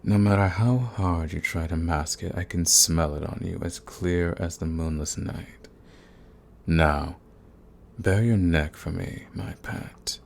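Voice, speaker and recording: Casting: male actor as ancient vampire lord, very low gravelly voice, silky, dominant and sadistic, for ASMR roleplay with faint background noise. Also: darkish tone, full character voice. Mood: commanding, seductive. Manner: menacing, silky, taunting, formal and deliberate. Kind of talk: ASMR roleplay audio drama.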